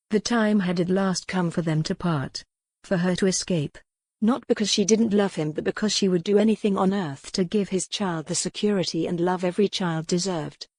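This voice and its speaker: A woman's voice: on the low side, darkish tone, dry recording. Woman narrating fiction, dry close sound. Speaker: audiobook narrator. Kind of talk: scripted narration, dramatic romance fiction.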